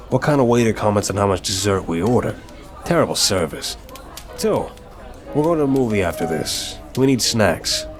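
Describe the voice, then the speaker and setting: A man playing an apologetic, flirtatious mafia boss who talks to a date in a teasing, earnest, affectionate way, in an ASMR roleplay. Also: on the low side. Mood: playfully indignant.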